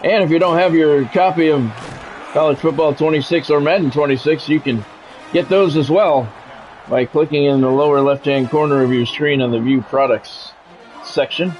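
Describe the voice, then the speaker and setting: A man's voice: fairly high pitch, normal speed, darkish tone, slight background noise, low-quality recording. A man livestreaming as a sports commentator, switching between clipped announcer-style play calls and casual chat with viewers over music. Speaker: game streamer calling play-by-play.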